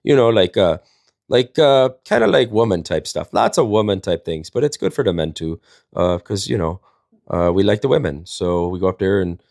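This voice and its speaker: A man's voice: sits low, animated, moderate pace, vocal fry, darkish tone, no echo, studio quality. A man, telling casual comedic stories in a rambling, folksy, jokey way as a livestream host. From Wisconsin, United States.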